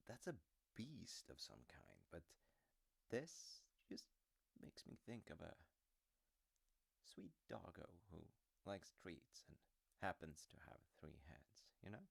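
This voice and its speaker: A man playing a gentle, comforting dad in an intimate audio roleplay, soft-spoken and affectionate, musing hesitantly. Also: low-pitched, creaky, breathy edge, heavily acted. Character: gentle, comforting dad who loves myths and stories.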